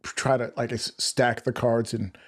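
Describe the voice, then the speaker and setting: A man hosting a podcast, talking in a casual, rambling way with a flat voice he jokes sounds sarcastic. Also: mid-range voice, measured pace, dry recording, clean studio sound. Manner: flat-voiced, rambling and chatty, self-described. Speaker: podcast host. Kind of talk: casual interview chat.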